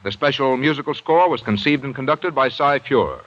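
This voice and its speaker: A man's voice: mid-range voice, normal speed. A man reading show credits and a sign-off as a formal radio announcer. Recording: dull old radio broadcast with music.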